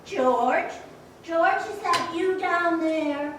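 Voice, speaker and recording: A woman's voice: very high voice, very slow, exaggerated voice. A woman playing a bossy, meddling older small-town mother in an old-time radio play. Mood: curious. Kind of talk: old-time radio drama stage acting. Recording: echoey theater, distant mic, noisy.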